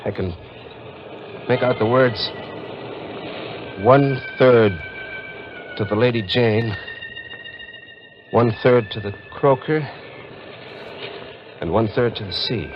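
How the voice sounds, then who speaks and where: A man's voice: medium pitch, slow pace, long pauses, lightly acted. An actor playing an earnest, anguished young fisherman with an American accent in a 1940s radio melodrama. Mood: wary. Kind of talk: 1940s radio drama acting. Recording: noisy, muffled 1940s radio recording.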